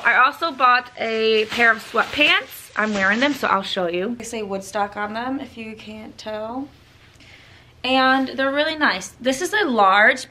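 Slightly hoarse chatty woman in her twenties. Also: medium pitch, bright, forceful tone. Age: 20s. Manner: chatty, rambling, lots of "like". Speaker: lifestyle vlogger. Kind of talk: casual vlog chat. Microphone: handheld compact camera.

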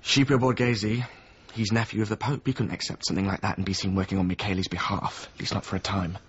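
An actor playing a weary, resentful former apprentice of a painter in a naturalistic radio drama, with a husky voice. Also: male voice, on the low side, level tone, fast. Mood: matter-of-fact. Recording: slightly muffled radio drama recording.

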